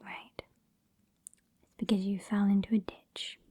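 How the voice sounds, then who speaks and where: A woman's voice: medium pitch, flat delivery, measured pace. Woman playing a whispering, sassy British vampire lady. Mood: exasperated scorn. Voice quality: whispery, breathy. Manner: condescending, exasperated, softly scolding. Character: sassy, haughty old female vampire scolding her fledgling. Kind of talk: whispered ASMR roleplay.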